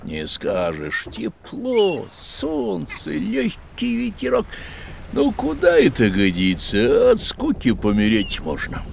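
An excitable old-salt style yacht captain for a children's audio play. His voice is gravelly and swings widely in pitch. He is theatrical, exclaiming over storms and scorning calm. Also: takes it slowly, slight background noise, exaggerated voice. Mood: grumbling, rapturous.

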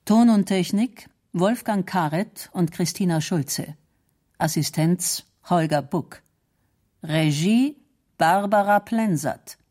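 A woman reads scripted radio credits formally. Her voice is mellow and a little low, and she takes her time. Faint music sits behind her. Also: slow. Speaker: announcer reading closing credits.

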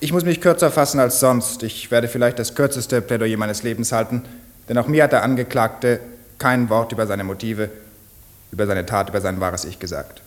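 An actor playing an earnest, solemn defence lawyer in a radio play, speaking in measured rhetorical phrases with emphatic pauses. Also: male voice, mid-range voice, natural voice. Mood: solemn, grave. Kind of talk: German radio play courtroom speech.

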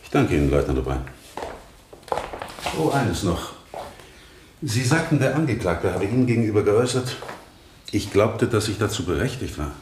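An actor playing a shrewd defence attorney in a radio courtroom drama, questioning formally and deliberately. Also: male voice, fairly low pitch, sing-song, a little mellow. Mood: probing, courteous. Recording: roomy, faint noise, vintage radio production.

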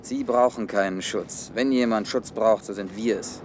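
An actor playing a cynical, hard-headed man in a German science-fiction radio play, blunt and deliberate as he explains. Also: on the low side, fairly even intonation, slight background noise, close to an everyday voice. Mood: grim. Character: cynical, hard-headed male official defending his orderly world.

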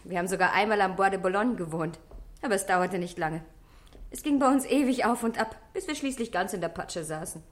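A woman's voice: medium pitch, a little fast, lightly acted. An actress playing a cool, world-weary, provocative woman of twenty-one, aloof and flippant, in a German radio play. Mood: teasing.

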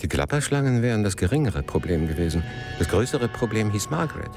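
A man's voice: on the low side, big pitch swings, quick pace, over music. An actor playing a melancholy, jealous middle-aged poet in a radio drama, brooding and literary in his phrasing. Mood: bitter, reflective. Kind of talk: radio drama narration and intimate dialogue.

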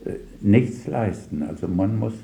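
Dark-voiced older man, slow and halting. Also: lowish voice, lively intonation, vocal fry, roomy sound. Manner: halting, searching for words, pausing mid-sentence. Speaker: radio drama director. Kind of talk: reflective interview answers, recounting work anecdotes.

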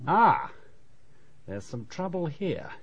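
Radio drama: English dentist, highish lively voice, talkative and pleased with himself. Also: male voice, breathy edge, dry recording, highs cut off, full character voice. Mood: brisk, professional. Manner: chatty, brisk, self-assured bedside patter. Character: chatty, self-satisfied male English dentist, briskly professional.

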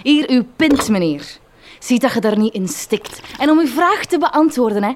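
Bitter, high-voiced Flemish village wife. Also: female voice, animated, moderate pace, noisy background. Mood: bitter, resentful. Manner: sarcastic, resentful. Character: bitter, long-suffering village schoolteacher wife. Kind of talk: theatrical radio drama acting.

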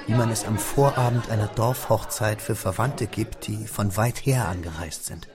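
Low-pitched male storyteller over music. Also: normal speed, crowd noise. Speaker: narrator. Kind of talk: scripted literary narration for radio drama. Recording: studio radio drama with music behind.

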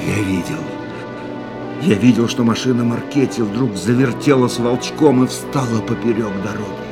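Actor as a tense racing driver, music swelling. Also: male voice, medium pitch, moderate pace, natural voice. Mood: tense, stunned. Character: male racing driver recounting his own fatal crash, tense and fatalistic. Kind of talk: dramatic radio play monologue. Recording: studio radio drama under loud music.

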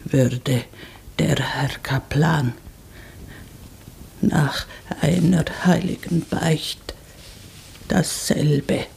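Dying old pilgrim, German radio play. Extremely slow, very creaky, weak and deferential. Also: female voice, sits low, a little mellow, slight background noise, full character voice. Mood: anxious, remorseful. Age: older. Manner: halting, deferential. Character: frail, dying, humble old pilgrim woman.

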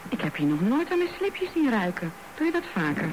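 A woman's voice: on the high side, big pitch swings, a little fast. An actress playing a candid, blunt wife in her thirties in a radio drama. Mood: indignant, challenging. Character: younger wife of thirty-five, candid and indignant. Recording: background noise on radio drama recording.

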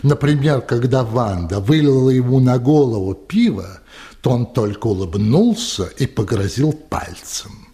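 A man narrating a literary radio play in a measured, dramatic storytelling manner. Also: mid-range voice, big pitch swings, mellow, husky.

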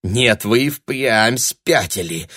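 Indignant, plain-spoken young saleswoman in an audiobook, performed by a man, pitched up, exasperated. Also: big pitch swings, a little slow, husky, no room sound, studio quality, exaggerated voice. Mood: angry, astonished. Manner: exasperated, forthright. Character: outspoken, indignant young female shop assistant.

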